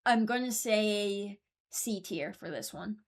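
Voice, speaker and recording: A woman's voice: mid-range voice, takes it slowly. A woman hosting a casual reaction video, speaking informally and thinking aloud as she gives her opinions. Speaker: video host ranking game voice lines.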